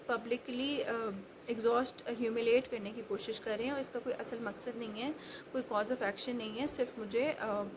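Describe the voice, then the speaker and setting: A woman giving an explanatory law lecture step by step, mixing in English legal terms. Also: fairly high pitch. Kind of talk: explanatory lecture with worked examples. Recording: clearly noisy recording with background ambience.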